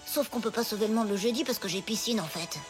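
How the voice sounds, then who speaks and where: A woman's voice: fairly high pitch, fast, lightly acted. A woman voicing a timid, literal-minded young schoolboy sidekick in a cartoon, naive and earnest. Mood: worried, earnest. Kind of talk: animated cartoon dialogue. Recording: music behind the voice.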